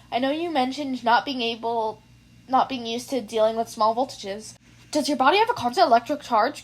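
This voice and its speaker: Woman voicing a nervous, high-voiced rambling teenage boy. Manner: rambling, apologetic, self-effacing. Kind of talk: fan fiction audiobook character voice.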